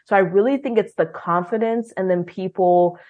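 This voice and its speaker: A woman's voice: medium pitch, moderate pace, phone-quality sound. Young woman, guest in a casual interview, filler-heavy and conversational. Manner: chatty, many "like" and "um" fillers. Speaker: guest, language program leader.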